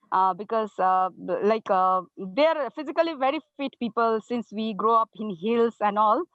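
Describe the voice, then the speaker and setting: A woman from the hills of India, a native Nepali speaker, talks in a higher voice with many hesitant pauses and fillers. The video call audio is dry and slightly muffled, with no room sound. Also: dry recording. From India, Kalimpong hills. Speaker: running coach and organizer. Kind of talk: panel discussion on a video call.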